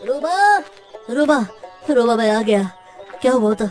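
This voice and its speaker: A woman's voice: a little high, very animated, takes it slowly, faint music behind. A woman voicing a flustered, devoted young family man in a Hindi cartoon drama, repeating and stammering over words. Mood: panicked. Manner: breathless repetition, stammering.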